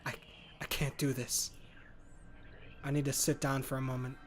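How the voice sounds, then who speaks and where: Guy reading a horror visual novel out loud, earnest inner-monologue voice, faint music behind. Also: medium pitch, completely flat delivery, moderate pace. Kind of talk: first-person story narration.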